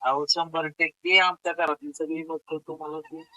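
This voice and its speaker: A man with a high, forceful voice and a rural western Maharashtra accent. He chats casually as a supporter on a phone call, offering encouragement again and again. The sound is dry and close. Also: little pitch movement, moderate pace, dry recording. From rural western Maharashtra, India. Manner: encouraging, repeats reassurances. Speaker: fan receiving a phone call.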